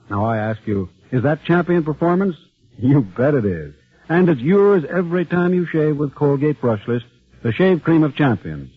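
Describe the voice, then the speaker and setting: A man's voice: medium pitch, breathy edge. An American man reading a sponsor's commercial as a 1940s radio announcer, with an emphatic salesman's delivery. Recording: tinny, phone-like old radio broadcast.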